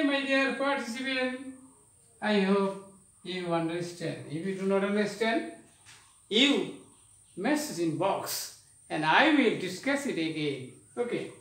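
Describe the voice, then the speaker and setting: A middle-aged man teaching English grammar at a whiteboard, speaking deliberately and repeating himself as he addresses his viewers directly. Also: high-pitched, fairly bright tone. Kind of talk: whiteboard lesson to camera. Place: small indoor room at a whiteboard. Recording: very echoey room, distant-sounding voice.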